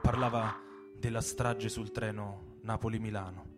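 A man giving a measured, solemn dramatic reading of a literary text, with pauses between phrases. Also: on the low side, little pitch movement. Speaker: reader in a radio reading performance. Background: plucked guitar music.

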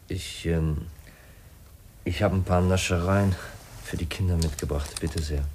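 An actor playing an earnest, pensive young heir in a 1950s German radio drama, polite and probing. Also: male voice, sits low, steady tone, a little slow, darkish tone, breathy edge. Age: young adult. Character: earnest, brooding young heir seeking his uncle's secret. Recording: noisy 1950s radio recording.

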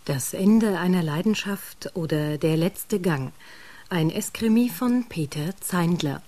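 Female radio announcer, low and mellow, formal delivery. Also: animated, slow, no room sound. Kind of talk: reading credits and announcements.

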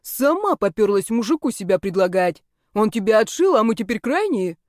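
A woman's voice: medium pitch, moderate pace, warm tone, no echo, studio quality, close to an everyday voice. Woman voicing a brash young male snake-man. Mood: indignant. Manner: slangy, scrappy, protective.

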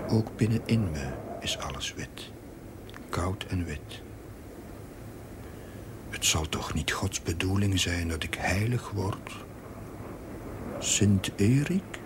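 Slow, low-voiced, weary young chaplain. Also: male voice. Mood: weary, bleak, then wryly musing. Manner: slow, measured, literary, often dropping to a whisper. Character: young ailing chaplain, weary, wryly reflective diarist. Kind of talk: dramatic diary narration for a television drama. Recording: noisy old 1970s television soundtrack.

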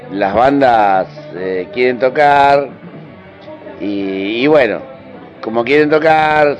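An Argentine man giving an informal interview as a musician, speaking in slangy, colloquial phrases and repeating himself for emphasis. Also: fairly low pitch. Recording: dull radio interview over music.

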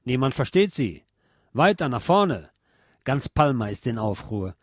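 A man reading a literary text aloud with expressive, emphatic delivery. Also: mid-range voice, a little slow, dry recording, clean studio sound.

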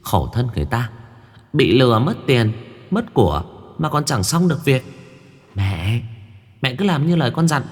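A man's voice: medium pitch, very animated, normal speed, pauses often, long reverb tail, voice pushed up, close to an everyday voice. A man voicing a mocking, playful village girl in a spoken ghost story. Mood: urging. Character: sly mocking village girl, high and teasing.

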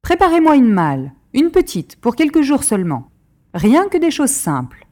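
A woman's voice: high voice, huge pitch swings, normal speed, dark tone. A woman plays a mischievous, worldly society madame from Paris. Her delivery is theatrical and mocking, swinging freely up and down as she orders and schemes in an audio drama. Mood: piqued, scheming. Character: flighty, mischievous, worldly Parisian mistress of the house.

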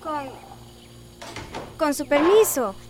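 A woman playing a timid, deferential young housemaid who speaks haltingly, in a radio drama. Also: high voice, animated, noisy background, full character voice.

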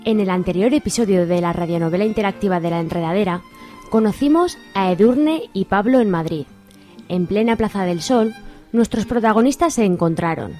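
A woman's voice: medium pitch, lively intonation, a little fast, mellow, soft background music. A woman reading scripted narration as the narrator of a radio drama.

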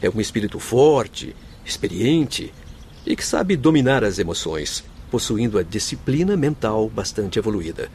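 An actor in a radio soap playing a noble, devoted doctor's spirit, speaking with formal, heartfelt solemnity. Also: male voice, on the low side, sing-song, moderate pace, a little mellow, slight background noise. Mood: grave, kindly. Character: noble grieving Spanish doctor spirit, devoted forgiving husband. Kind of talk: radio soap opera acting.

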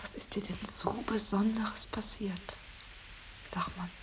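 An actress playing a painter's reserved, sceptical daughter in a radio drama, with a hushed, breathy, probing delivery. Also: female voice, mid-range voice, fairly even intonation, a little slow, whispered, natural voice. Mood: probing, hesitant. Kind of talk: 1980s German radio drama acting.

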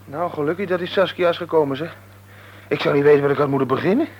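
A man's voice: fairly high pitch, fast talker, highs cut off, close to an everyday voice. An actor in a radio drama playing a flustered, helpless man who chats casually with stammering bluster. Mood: relieved, chatty. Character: flustered, chatty young man, helpless at domestic chores. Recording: noisy old radio recording with hum.

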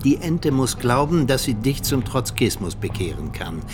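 An actor playing a ruthless Soviet agent and mentor in a radio drama, speaking in a deliberate, conspiratorial, commanding way. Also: male voice, medium pitch, very animated, close to an everyday voice. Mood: urgent, commanding. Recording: studio radio play with music underneath.

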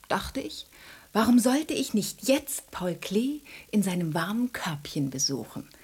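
An actress playing a self-possessed, comfort-loving female cat in a radio drama, musing in a genteel, theatrical way with a husky, breathy voice. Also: medium pitch, darkish tone. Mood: smug, confiding.

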